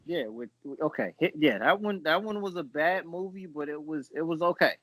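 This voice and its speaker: A man with a fairly high voice hosts a casual podcast. He talks informally and haltingly, often circling back to repeat a phrase. The sound is very dry, with hardly any room on it. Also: a bit bright, no echo. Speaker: podcast host. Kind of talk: casual chat about films and games.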